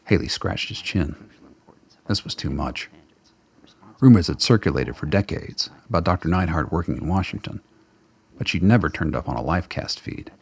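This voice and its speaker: A man's voice: deep voice, expressive, normal speed, gravelly, breathy edge. A man reading scripted dramatic narration as the narrator of a radio play.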